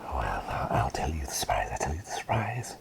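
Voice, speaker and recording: A man voicing a gentle, whimsical plague doctor caretaker in an ASMR roleplay, whispering softly and breathily in halting, reassuring repeated phrases. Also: very deep voice, huge pitch swings, vocal fry, heavy background noise, heavily acted. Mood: whimsical, welcoming.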